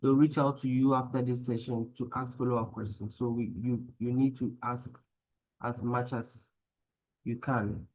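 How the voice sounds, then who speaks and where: A man moderating a webinar, inviting follow-up questions in a measured way. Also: mid-range voice, little pitch movement, darkish tone. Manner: measured, urging participants to ask questions. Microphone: video call. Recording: muffled call audio.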